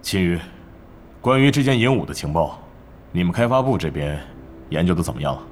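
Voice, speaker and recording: A man's voice: low-pitched, very animated, fairly quick, slight background noise. A man playing a stern, scheming middle-aged club manager in a Mandarin audio drama, commanding and interrogating. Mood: probing.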